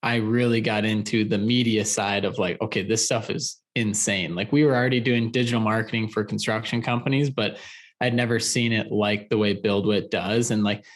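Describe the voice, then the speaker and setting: A man's voice: on the low side, steady tone, moderate pace. A man hosting a construction podcast, enthusiastic and conversational, often repeating words as he starts his sentences. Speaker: podcast host. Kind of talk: upbeat interview hosting.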